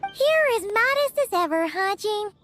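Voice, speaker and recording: A woman voicing a tiny, cheerful, cheeky fairy companion in an anime game, squeaky and childlike. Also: sing-song, unhurried, exaggerated voice. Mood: curious, teasing. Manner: perky, refers to self in third person. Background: background music.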